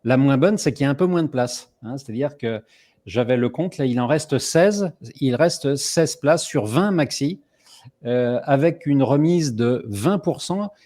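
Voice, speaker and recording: A middle-aged man hosts a live online summit over a video call. He tells stories in a chatty, rambling way with plenty of "euh" fillers. His voice sits at a medium pitch. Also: expressive, a little slow, warm tone. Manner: chatty, many fillers, storytelling. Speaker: host.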